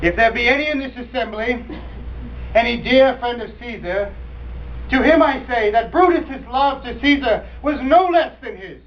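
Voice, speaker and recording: A man's voice: extremely high pitch, lively intonation, moderate pace. A young man acting a proud, solemn Roman orator declaiming a Shakespearean speech to a crowd. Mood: solemn, commanding. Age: young adult. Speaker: acting student. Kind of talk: Shakespearean stage oration. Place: acting studio. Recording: distant mic, muffled, faint noise.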